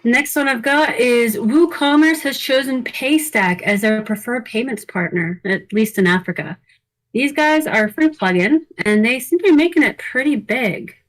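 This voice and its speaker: Casual woman podcast co-host, higher-pitched voice. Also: moderate pace, poor audio. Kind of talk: casual podcast news rundown and chat.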